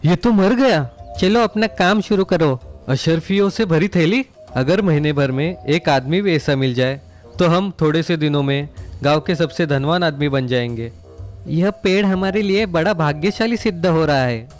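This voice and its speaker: A man's voice: fairly high pitch, normal speed, soft background music, natural voice. A man playing a greedy, scheming young village idler in a moral story, watchful and gloating. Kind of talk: acted moral story dialogue.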